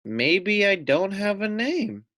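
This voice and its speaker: A man's voice: high voice, very animated, takes it slowly, fairly bright tone, dry recording, studio quality, pitched above the performer's own voice, heavily acted. A man voicing a small, fluffy young female lamb, innocent-seeming but crafty, in a children's storybook.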